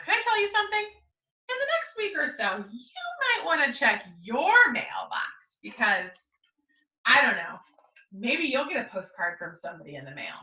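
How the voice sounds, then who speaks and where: A woman teacher reading a picture book to young children in an expressive, coaxing way, often turning to them with questions. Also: high voice. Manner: expressive, coaxing, asks frequent tag questions. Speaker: schoolteacher reading to young pupils. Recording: roomy echo, distant mic, muffled audio.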